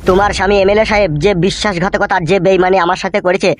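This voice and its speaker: Man as rapid-fire aggrieved cartoon fellow. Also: high-pitched, flat delivery, a little hiss or hum, close to an everyday voice. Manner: dramatic, complaining. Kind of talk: comedy cartoon dubbing.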